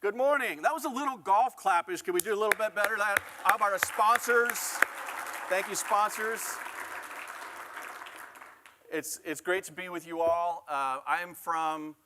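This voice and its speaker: A man from Oregon opening a keynote talk in an event hall, energetic, drawing the audience in. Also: high voice, normal speed, a crowd in the background, background noise. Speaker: guest keynote speaker.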